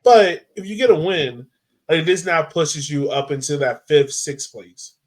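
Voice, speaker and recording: A man co-hosting a sports podcast in informal, halting chat peppered with filler words. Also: a little high.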